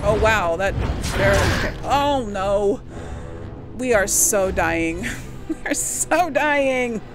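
A woman's voice: medium pitch, lively intonation, slow pace. A woman giving casual gameplay commentary, talking herself through the game in a mildly exasperated way. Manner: self-narrating, mildly exasperated. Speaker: gaming video host playing and commenting. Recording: loud game music behind voice. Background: loud video game music and battle sounds.

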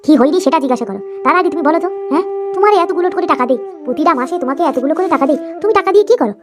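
A woman's voice: sits high, animated, rapid-fire, music in the background. A woman voicing a spiteful, scheming young stepmother who nags and complains in a whiny cartoon manner. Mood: nagging.

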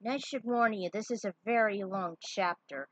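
A woman narrating her own fan fiction as a storyteller, with casual asides and a husky voice. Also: medium pitch, moderate pace, a bit bright, no room sound, dull audio.

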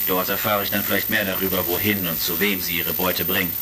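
A man's voice: low voice, flat delivery, fast, background noise, lightly acted. A man playing a resolute, shrewd heroic space commander in a retro sci-fi audio drama.

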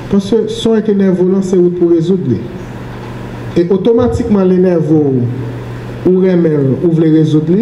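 A middle-aged man giving a business training seminar on a handheld mic, animated and often checking in with the room. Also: high voice. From Haiti. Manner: animated, gestures while speaking, asks the room questions. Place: medium-sized indoor training room. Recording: echoey room, faint background noise.

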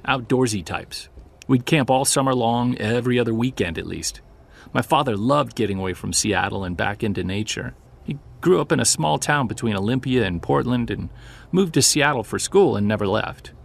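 Reflective man with creaky voice, acted. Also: medium pitch, expressive, normal speed. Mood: nostalgic, reflective. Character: adult male witness recounting an unsettling childhood camping memory. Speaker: voice actor in audio drama. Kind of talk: naturalistic audio drama storytelling.

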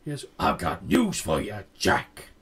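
A man's voice: fairly low pitch, huge pitch swings, unhurried, a bit bright. A man in his thirties co-hosting a wrestling podcast, reading a match recap aloud with dry, sarcastic asides and casual swearing. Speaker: podcast co-host.

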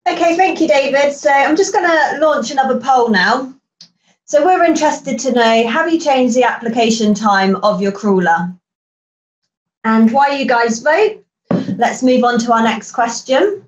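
Brisk woman hosting, echoey and distant. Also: high-pitched, animated. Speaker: webinar host. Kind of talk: moderating a question session. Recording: roomy, distant audio.

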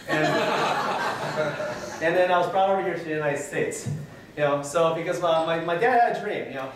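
A man's voice: on the high side, heavy reverb, slight background noise. A young man from Taiwan giving a joking, self-deprecating conference talk with lots of fillers, recorded from far back in a meeting room. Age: young adult. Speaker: conference presenter. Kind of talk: humorous presentation talk. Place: conference room with projector screen. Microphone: far camera.

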